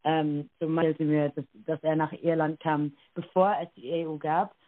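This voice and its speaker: A woman's voice: sits low, level tone, unhurried, no room sound. A young Irish woman with an Irish accent, answering interview questions hesitantly, with many filler sounds and self-corrections. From Ireland. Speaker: interview guest. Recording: tinny phone-like line, dry.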